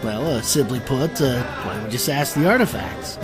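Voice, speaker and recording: An actor playing a self-satisfied eccentric inventor in a fantasy audio drama, halting and rambling with constant stammered uhs. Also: male voice, mid-range voice, lively intonation, music in the background, natural voice. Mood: digressive, smug.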